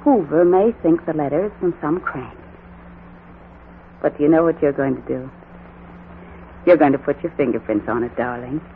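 An actress playing a gangster's scheming, sweet-talking wife in a 1940s radio crime drama, with a coaxing, pleading manner. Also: female voice, deep voice, normal speed, pauses often. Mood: coaxing, excited. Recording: muffled, noisy 1940s radio recording.

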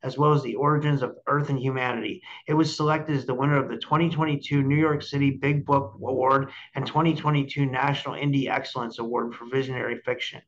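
A man's voice: medium pitch, steady tone, fast, hardly any pauses, a bit bright. A man hosting a podcast over a video call, chatting casually and often repeating his words as he goes. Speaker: podcast host. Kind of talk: casual interview chat. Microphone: remote video call. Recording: dull lo-fi call audio.

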